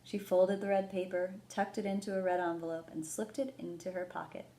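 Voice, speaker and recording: A woman hosting a children's storytime, explaining things simply and gently and reading a picture book aloud. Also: on the low side, level tone, fairly quick. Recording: roomy echo, background noise.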